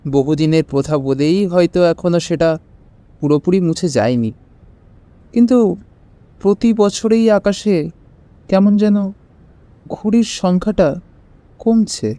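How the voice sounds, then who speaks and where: An actor playing a nostalgic older neighbourhood gentleman in a radio story, reminiscing in a wistful, measured way. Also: high voice, no room sound.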